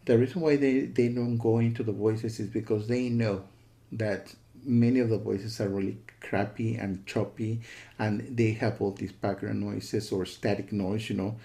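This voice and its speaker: A man giving a casual spoken software review in a disgruntled, emphatic way, often repeating himself and checking in with the listener. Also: fairly low pitch, fairly even intonation, moderate pace, vocal fry.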